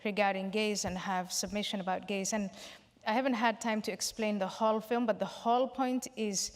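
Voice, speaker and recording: Measured woman speaking in a big hall. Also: medium pitch, fairly even intonation. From Somalia. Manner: measured, explanatory, with restarts. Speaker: panel guest. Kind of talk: public Q&A discussion. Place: large hall. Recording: reverberant hall.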